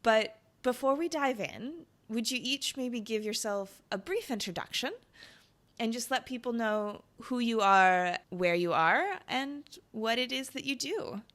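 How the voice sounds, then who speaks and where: A woman's voice: on the high side, a little slow, fairly bright tone. A woman hosting a podcast interview, chatty and enthusiastic, with frequent fillers and laughs. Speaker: podcast host.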